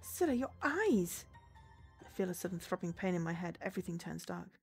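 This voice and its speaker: A woman's voice: lowish voice, monotone, fast talker, darkish tone, slightly rough. A woman streamer reading a visual novel aloud as dramatic narration. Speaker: game streamer. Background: game music.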